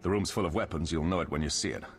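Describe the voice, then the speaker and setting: Brisk game monster hunter, lowish voice, resolute, direct, cavernous reverb. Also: male voice, faint music behind. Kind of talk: video game voice acting. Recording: long hall reverb on the voice.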